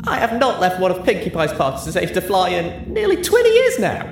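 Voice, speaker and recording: A man voicing a brash, tipsy, aging female pegasus pony who talks loud and swaggering. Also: high-pitched, wildly swooping pitch, brisk, creaky, voice pushed up, exaggerated voice. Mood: boastful. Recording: roomy sound with music underneath.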